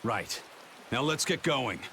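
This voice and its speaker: Steady, commanding pirate officer. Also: male voice, mid-range voice, takes it slowly, creaky, slight background noise, exaggerated voice. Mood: grave, urgent. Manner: commanding, matter-of-fact. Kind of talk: video game voice acting.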